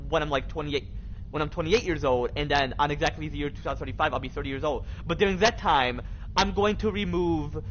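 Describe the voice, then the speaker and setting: Young male American streamer, slightly high voice, loose repetitive slang, lo-fi sound. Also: quick pace, fairly bright tone. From Michigan, United States. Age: young adult. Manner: rambling, repetitive, heavy slang. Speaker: live streamer. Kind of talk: casual game livestream chat. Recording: dull lo-fi mic with faint noise.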